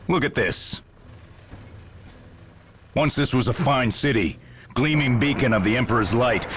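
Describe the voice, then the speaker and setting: A man's voice: on the low side, slight background noise. A man playing a stern, weary military general in a video game, speaking in grandiose speeches and clipped questions. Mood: bitter, visionary. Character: stern, weary Imperial Guard general, zealous and commanding. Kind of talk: dramatic video game cutscene acting.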